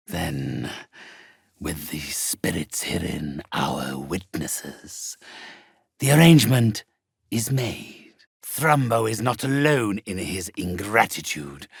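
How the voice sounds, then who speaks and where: An actor playing a sinister, pompous undead necromancer in a video game, speaking formally with cold politeness in a gravelly, husky voice. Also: male voice, sits low, fairly bright tone, dry recording, studio quality, full character voice. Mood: stately, ominous. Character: sinister, haughty undead male necromancer trading in spirits. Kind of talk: scripted video game character acting.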